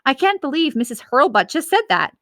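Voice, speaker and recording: A woman's voice: sits high, big pitch swings, brisk, a bit bright, no echo, studio quality, pitched above the performer's own voice, exaggerated voice. A woman voicing a nervous but defiant schoolboy in a storybook, earnest and indignant.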